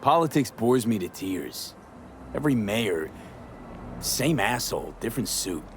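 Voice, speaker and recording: A man's voice: fairly low pitch, very animated, unhurried, a little hiss or hum. A man playing a jaded, street-smart mercenary in a video game, blunt and laconic. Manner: laconic, blunt, short sentences.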